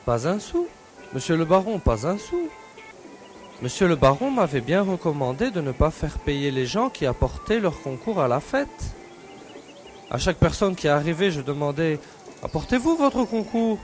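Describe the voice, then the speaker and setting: A man plays a simple-minded village policeman in an audio drama. He is deferential and earnest, with a slightly high, very animated delivery over faint music. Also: moderate pace. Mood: earnest, naive. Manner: deferential, repetitive, naive.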